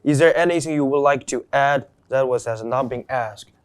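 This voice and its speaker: A teenage boy reads interview questions at a middle pitch in a school studio. He fills the gaps with soft murmurs of acknowledgment. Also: normal speed, clear, resonant tone. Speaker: student interviewer.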